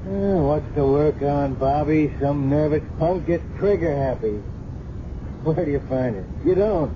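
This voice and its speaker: A man's voice: a little high, a little slow, natural voice. An actor playing a world-weary, skeptical senior policeman, blunt and dismissive, in a 1970s radio drama. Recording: muffled, noisy 1970s radio recording.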